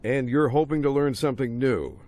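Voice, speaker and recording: A man's voice: medium pitch, fairly quick, darkish tone, natural voice. An actor playing a weary, reluctant male investigator in a video game, with a sardonic, laid-back manner.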